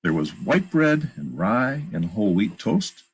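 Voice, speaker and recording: A man's voice: medium pitch, big pitch swings, a little slow, slightly rough. A man reading a children's picture book aloud, expressively, with plain asides about the pictures. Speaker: storytime reader and narrator.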